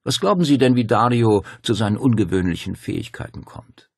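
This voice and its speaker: Man playing a smug, fervent cult master, pitched up. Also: lively intonation, a little fast, vocal fry, husky, no echo, studio quality, exaggerated voice. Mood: certain. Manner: insinuating, declamatory, unruffled. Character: calm, fervent Spanish cult master, smugly certain. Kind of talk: dramatic audiobook dialogue.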